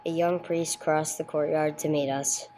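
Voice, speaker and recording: A woman's voice: deep voice, level tone, a little slow, warm tone, a little hiss or hum, low-quality recording. A woman playing a dry, businesslike police detective sergeant in a radio drama, with terse, matter-of-fact delivery. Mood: deadpan, matter-of-fact. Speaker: narrator and lead actor. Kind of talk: old-time radio police drama, scripted narration.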